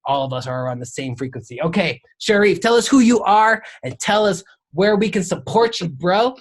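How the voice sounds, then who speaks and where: A man's voice: high-pitched, animated, moderate pace. A man hosting a podcast in a hyped, slangy, enthusiastic way. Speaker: podcast host. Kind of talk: casual podcast chat.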